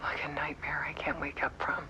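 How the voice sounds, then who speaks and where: An actress playing an exhausted, haunted woman photographer in a horror game, speaking in a hushed, halting, breathy whisper. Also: low-pitched, monotone, rough recording. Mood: anguished, exhausted. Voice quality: breathy whisper with creaky, sharp edge. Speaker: actor in a live-action game cutscene. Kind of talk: confessional monologue, horror game live-action acting.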